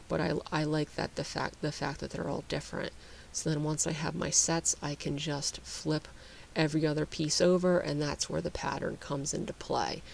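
A man with a slightly high, gravelly voice walks through his woodworking steps in an easygoing voice-over. His delivery stays flat, and he often stops to restart a phrase. A faint hiss sits under the recording. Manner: relaxed, explanatory, with frequent restarts and fillers. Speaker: woodworking channel host. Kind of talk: voice-over narration of a how-to.

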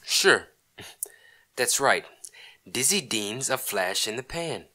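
A man's voice: mid-range voice, expressive, slow, bright, forceful tone, no room sound, exaggerated voice. A man playing a stubborn, scornful husband in an old-time radio comedy, curt, sardonic and dismissive.

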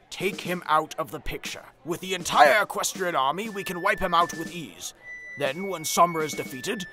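Man playing pony war planner, fairly high, animated, bossy, authoritative, deliberate, parody cartoon. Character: bossy, scheming young pony war strategist. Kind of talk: parody cartoon dub acting.

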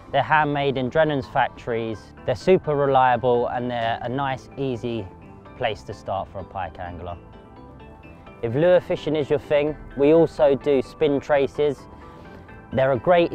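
A man's voice: mid-range voice, a little mellow. A young man giving plain-spoken, matter-of-fact instructional talk to camera as an angler, recorded outdoors. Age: young adult. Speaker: angler presenting a tackle tutorial. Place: outdoors by a riverside path. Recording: music behind the voice. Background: music.

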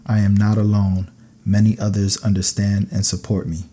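Deep, mellow man reading calming affirmations. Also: breathy edge. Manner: calm, soothing, measured delivery. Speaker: narrator of guided affirmations. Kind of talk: scripted sleep meditation affirmations.

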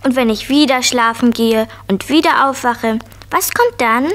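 A woman's voice: fairly high pitch, a little slow, a bit bright. A woman playing a curious, bossy four-year-old girl in a 1970s German children's radio play. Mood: insistent, curious. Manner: inquisitive, insistent.

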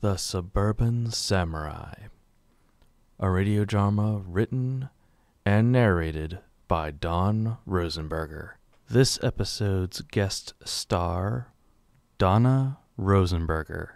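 A man reading scripted radio drama narration in a measured, serious manner. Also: low-pitched, creaky, a little mellow, no echo, clean studio sound.

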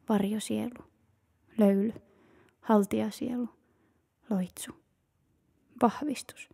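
A woman whispering a slow, rhythmic chant of single words in a breathy, hushed voice. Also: fairly high pitch. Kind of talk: whispered incantation-like word chanting.

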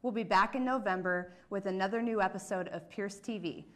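A woman with a medium-pitched voice hosts a college TV program. She delivers scripted announcements and sign-offs as a presenter. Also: brisk. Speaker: host of a college TV show.

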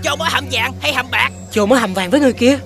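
Silly squeaky monkey for children's animated folk tale, high-pitched, over prominent music. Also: quick pace. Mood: matter-of-fact chatter. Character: chattering, gullible monkey in a fairy-tale cartoon.

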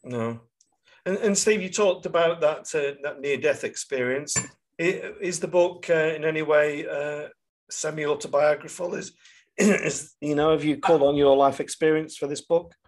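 A man's voice: a little high, normal speed. A man hosting a podcast interview, putting questions in a tentative, conversational way.

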